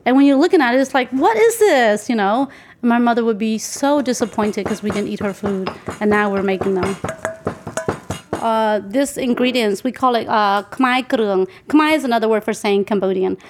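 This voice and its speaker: Woman, 40s, casual chat, cooking at home, laughs often, explains things, higher voice, faint kitchen noise. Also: lively intonation, normal speed. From United States. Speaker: guest cooking in a recorded segment.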